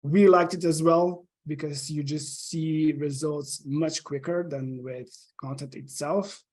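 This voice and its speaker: A man's voice: a little high, fairly even intonation, slow pace, darkish tone. A man in his thirties from Slovenia with a Slovenian accent, chatting eagerly as a podcast guest, with frequent ums and uhs. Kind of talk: casual interview chat.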